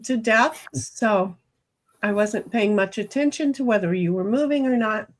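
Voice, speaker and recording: Woman, high pitchy voice, rambly casual gamer host chatting from home. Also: a little slow. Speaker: streamer playing online cards with friends. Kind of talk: casual chat while gaming. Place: hot room at home.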